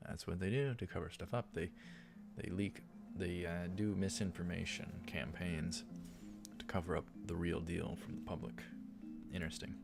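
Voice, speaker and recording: A man's voice: sits low, fairly even intonation, normal speed, creaky, music in the background. A man hosting a let's play, reading a visual novel's narration aloud and adding brief asides. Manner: stumbles over lines and corrects himself.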